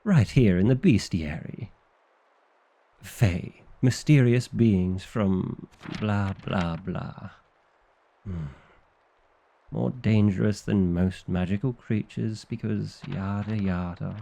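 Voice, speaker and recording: A man's voice: lowish voice, slow pace, vocal fry, dark tone. A man playing a bookish, exasperated vampire librarian in an ASMR roleplay, wry and theatrical with flustered indignation. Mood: baffled.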